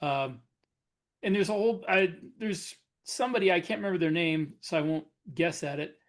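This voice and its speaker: A man's voice: fairly high pitch, normal speed. A man co-hosting a podcast, talking hesitantly and searching for his words. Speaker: podcast co-host. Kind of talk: casual chat.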